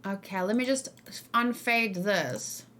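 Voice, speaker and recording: South african girl streamer, chatting casually with her stream, medium pitch. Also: slow pace, a bit bright. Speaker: streamer reacting to game trailers. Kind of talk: casual livestream chat.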